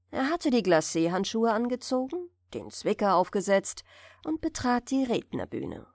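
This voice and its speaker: A woman's voice: mid-range voice, gravelly, a little mellow, no echo. A woman narrating a bedtime fairy tale as an audiobook reader, close to the microphone.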